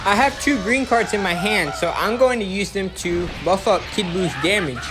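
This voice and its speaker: Casting: gameplay guide narrator, medium pitch, instructional and explanatory delivery, voice-over laid over clearly audible music. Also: moderate pace.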